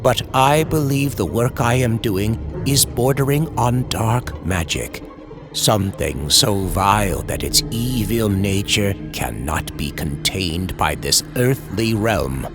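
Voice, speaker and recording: A man's voice: lowish voice, animated, takes it slowly, voice pushed down. A man playing a tormented horror writer, narrating his fears in a grave, brooding, formal old-time radio style. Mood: dread, anguish. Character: tormented male horror writer fearing demons, guilt-ridden. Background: music.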